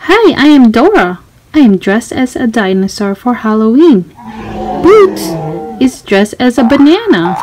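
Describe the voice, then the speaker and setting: A woman voicing a cheerful, excitable young girl explorer in a children's storybook, exclaiming and asking listeners for help. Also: a little high, unhurried, background noise. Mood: cheerful, inviting. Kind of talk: children's storybook read-aloud.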